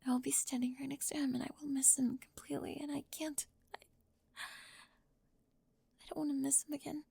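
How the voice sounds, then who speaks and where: A woman playing an anxious, perfectionist teenage girl in an ASMR roleplay, halting and fragile, often dropping into a breathy whisper. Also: high-pitched, flat delivery, warm tone, no echo. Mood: fragile, pleading. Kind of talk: ASMR roleplay audio drama.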